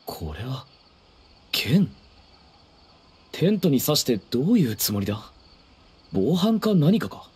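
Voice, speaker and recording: Anime game young man: lively intonation, mid pitch, earnest and a touch fussy. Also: slow pace, pauses often, husky, exaggerated voice. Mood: puzzled.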